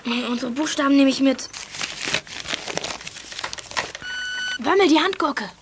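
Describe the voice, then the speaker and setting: A female performer playing a plucky, excitable girl detective in a youth radio play, eager and determined. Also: high voice, a little fast, noisy background, close to an everyday voice. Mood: excited. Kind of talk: 1980s German youth radio play acting.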